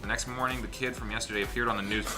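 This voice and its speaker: A man's voice: fairly low pitch, fairly even intonation, racing. A man hosting a casual group let's play, reading the game's text aloud and chatting in a jokey way. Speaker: gaming channel host reading a visual novel aloud. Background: game music behind the voice.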